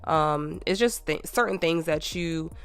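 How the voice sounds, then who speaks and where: A woman's voice: low-pitched, a little slow, a bit bright, clear, resonant tone, dry recording. A nail technician woman narrating a chatty, detailed tutorial voiceover while stuffy with a cold. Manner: chatty, detailed, with many ums.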